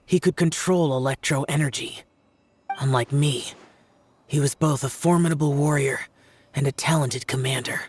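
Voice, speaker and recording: A man's voice: medium pitch, steady tone, normal speed, slight background noise, close to an everyday voice. A man playing a solemn, brooding, youthful immortal warrior in a video game, with a restrained delivery. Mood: somber. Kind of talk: game voice acting. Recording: music behind the voice.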